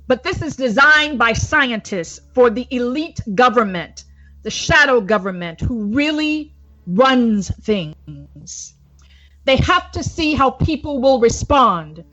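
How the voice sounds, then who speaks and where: A woman evangelist reading a formal religious report aloud in a solemn, declamatory, deliberate manner. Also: on the high side, fairly bright tone. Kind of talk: formal religious address, read aloud from a prepared script. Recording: dull lo-fi recording with music underneath.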